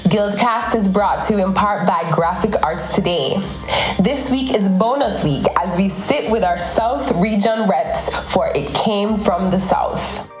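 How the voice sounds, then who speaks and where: A woman reads a scripted podcast announcement in a clear, measured way. Her mid-pitched voice rings in an echoey room, with a faint music bed underneath.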